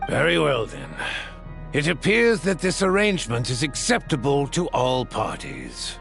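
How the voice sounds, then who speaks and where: A man's voice: on the high side, wildly swooping pitch, measured pace, creaky, a bit bright, faint music behind, exaggerated voice. An actor playing a formal, ceremonious chairman of a political assembly in a video game. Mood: measured, skeptical. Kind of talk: scripted video game acting, formal proceedings.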